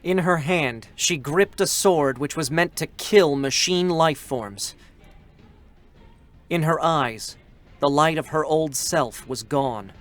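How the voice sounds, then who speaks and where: A man reads narration in a slow, measured and solemn way. His voice is a touch high for a man. Soft music plays under him. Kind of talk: scripted narration in a live reading.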